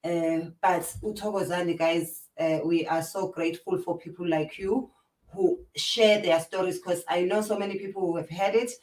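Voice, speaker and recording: Woman, South African accent, host, halting speech, echoey room, distant mic. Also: lowish voice, steady tone, darkish tone. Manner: halting, with many fillers and restarts. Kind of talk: casual interview chat.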